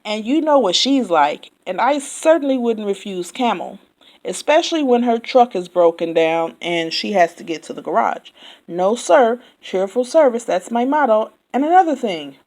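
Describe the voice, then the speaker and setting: A woman's voice: a little high, lively intonation, normal speed. A woman doing a nonstop-talking yak taxi driver. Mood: chattering. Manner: loud, rambling, indignant chatter.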